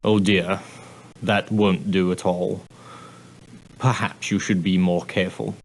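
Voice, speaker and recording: An actor playing a prim, straight-laced ship's steward in an adventure game, speaking formally with dry, condescending politeness. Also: male voice, sits low, little pitch movement, takes it slowly, full character voice, poor audio. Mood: prim, sardonic. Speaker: steward and guide on an ocean liner. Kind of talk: scripted adventure game character dialogue.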